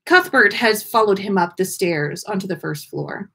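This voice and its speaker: Female podcast host, expressive story reader with playful, casual asides and lively pitch swings. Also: moderate pace, gravelly, breathy edge. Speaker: podcast host reading listener stories on a livestream. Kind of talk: animated story reading with casual chat.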